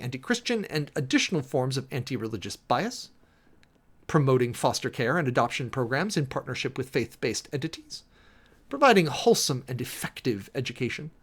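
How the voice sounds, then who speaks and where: Male reader, slightly high-pitched and highly animated, theatrical and emphatic delivery of formal text. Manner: theatrical, emphatic, spells out punctuation and section headings. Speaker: streamer and host reading a document aloud. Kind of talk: dramatic reading of a legal document.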